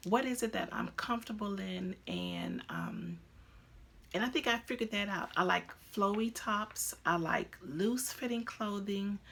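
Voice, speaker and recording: A woman's voice: mid-range voice, sing-song, a little slow, gravelly, fairly bright tone, slightly rough. A woman in her fifties hosting a crafting podcast, chatting casually at home with lots of ums and restarts. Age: 50s. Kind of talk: casual chat to camera. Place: at home, indoors.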